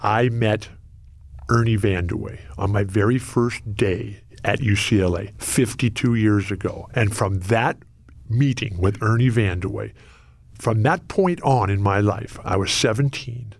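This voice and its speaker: A man's voice: on the low side, measured pace, creaky, dry recording. A man in his sixties who stutters, telling stories energetically as an interview guest. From Los Angeles. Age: 60s. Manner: lifelong stutterer, energetic storytelling. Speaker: radio broadcaster, guest. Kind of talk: interview conversation.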